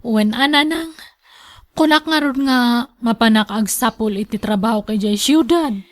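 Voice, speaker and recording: Woman acting slow, high-voiced, emotional young daughter. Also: close to an everyday voice. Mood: reassuring. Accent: northern Philippine. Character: young daughter and wife, emotional, devoted to family. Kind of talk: radio drama acting.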